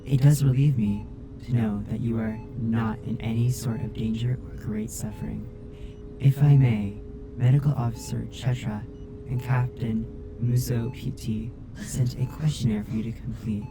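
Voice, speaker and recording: A man voicing a caring robot crewmate in an ASMR roleplay, speaking in a formal, precise, measured way. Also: medium pitch, music in the background, close to an everyday voice. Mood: calm, attentive. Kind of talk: ASMR roleplay audio drama.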